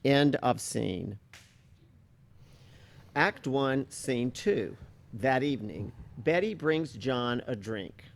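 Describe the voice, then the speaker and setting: A man's voice: medium pitch, animated, very slow, vocal fry, long pauses. A man reading stage directions as scripted narration for a play reading.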